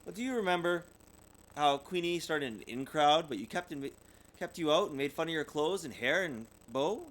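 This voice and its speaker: A man voicing a gentle mother bear in a storybook, soothing and patient. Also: fairly high pitch, expressive, moderate pace, pitched above the performer's own voice, rough recording. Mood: gentle concern.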